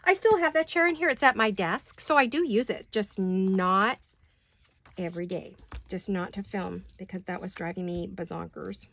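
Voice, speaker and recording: A woman's voice: mid-range voice, moderate pace, gravelly, a bit bright. A middle-aged woman rambling chattily while she works on paper crafts in her home studio, joking at her own expense. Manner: rambling, self-deprecating, talks while working. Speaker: craft vlogger.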